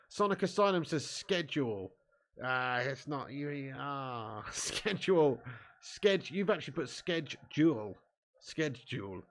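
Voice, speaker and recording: A cheeky young man with an English accent, hosting a football watch-along live stream and bantering while reading viewer comments. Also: a little high. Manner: cheeky, banter-filled, laughs often.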